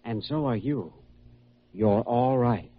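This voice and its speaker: An actor playing a calm, authoritative American doctor in a classic radio drama, speaking in a measured, reassuring way. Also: male voice, medium pitch, slight background noise, lightly acted. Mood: firm, reassuring. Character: calm, authoritative male doctor guiding a hypnotized patient. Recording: dull, lo-fi old radio recording.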